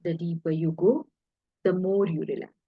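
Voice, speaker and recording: A woman leading a guided hypnosis relaxation in a soothing, deliberate way, pausing between short phrases, with a husky, breathy voice. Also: fairly low pitch, steady tone, no room sound, narrow, tinny audio.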